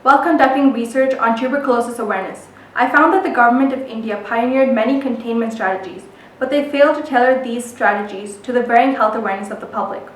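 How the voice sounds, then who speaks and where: A woman's voice: a little high, quick pace, slight background noise. A young adult woman delivering a prepared presentation, recorded roomy and distant. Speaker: public speaker, medical student.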